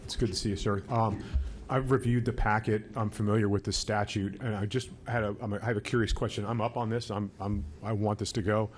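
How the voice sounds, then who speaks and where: A man, a commissioner, asking a polite, halting question at a public hearing. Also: fairly low pitch, steady tone, faint music behind. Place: county hearing chamber.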